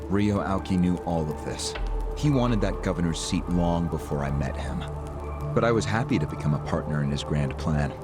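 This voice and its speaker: Smug schemer, low-pitched, lecturing and cynical, video game drama, prominent background music. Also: male voice, expressive, normal speed. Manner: smug, explanatory, chuckling. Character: smug, calculating male political schemer and activist leader.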